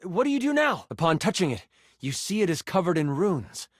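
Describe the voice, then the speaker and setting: An actor playing a theatrical game master who narrates a fantasy adventure with suspense. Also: male voice, sits high, very animated, a little fast, no echo, studio quality. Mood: suspenseful. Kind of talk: dramatic second-person scripted narration.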